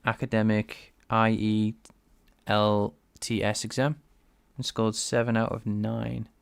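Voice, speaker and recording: A man's voice: mid-range voice, gravelly, smooth. A man with a light Scouse accent, live-streaming game programming and chatting with viewers while he works. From England. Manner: thinks aloud, answers chat, enunciates carefully. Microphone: desk microphone.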